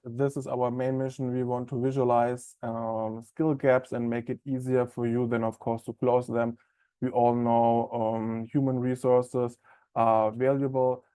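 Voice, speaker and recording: A man with a German accent hosts a live software webinar, talking in a friendly, conversational way and filling his pauses with ums and uhs. His voice is dark and mellow at a middle pitch. The sound is close and dry, like a desk mic on a video call. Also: clear, resonant tone, no echo. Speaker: software implementation specialist presenting a webinar. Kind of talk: live product webinar presentation.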